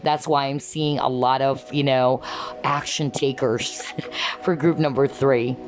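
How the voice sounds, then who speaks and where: A woman giving an earnest tarot card reading, often asking "okay?" as she talks, and her voice is going hoarse. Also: extremely low pitch, fairly even intonation, slow pace, soft background music. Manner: earnest, repeats "okay?" to viewers. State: losing her voice. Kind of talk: pick-a-card reading to camera.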